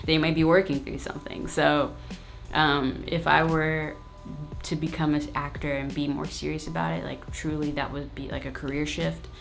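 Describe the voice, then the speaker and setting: A woman's voice: deep voice, little pitch movement, moderate pace, vocal fry, faint music behind. A woman hosting her own video channel, answering viewers' questions in a frank, casual, slangy way. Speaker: YouTube host. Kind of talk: casual Q&A vlog.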